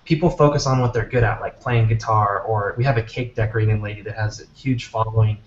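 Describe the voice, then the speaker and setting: A man offers encouraging advice as an interview guest, speaking informally at an average pitch. The sound is roomy and a little muffled, with faint background noise. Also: steady tone, quick pace. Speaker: interview guest, app maker. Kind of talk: casual advice in conversation. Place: office. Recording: echoey, muffled, noisy office video call.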